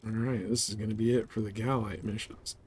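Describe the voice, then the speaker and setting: A man's voice: fairly low pitch, steady tone, fairly quick, darkish tone, slight background noise. A man hosting a gaming livestream, chatting casually as he comments on his play. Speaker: livestream host.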